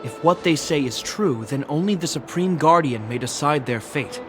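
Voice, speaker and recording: A man voicing a solemn, dutiful guard captain in a video game, formal, measured and authoritative. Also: on the high side, animated, close to an everyday voice. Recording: game music behind the voice. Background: orchestral violin music.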